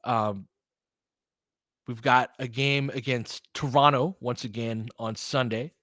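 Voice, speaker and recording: A man's voice: mid-range voice, steady tone, slow pace, fairly bright tone, no echo. A man doing informal basketball play-by-play as a host, chatting conversationally and thinking aloud. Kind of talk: casual basketball commentary mixed with chat replies.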